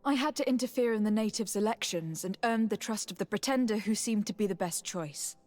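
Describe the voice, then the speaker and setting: An actress playing a composed, dutiful young noblewoman diplomat in a video game, speaking in a measured, earnest, formal manner. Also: medium pitch, darkish tone, natural voice.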